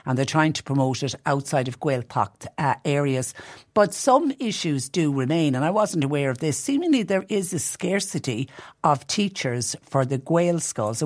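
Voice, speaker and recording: An Irish talk radio host speaking in an easygoing, conversational way, moving from listener messages to figures and news items. The voice sits at a middling pitch. It is recorded close on a studio microphone in a dead, dry room with no echo. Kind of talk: local talk radio monologue.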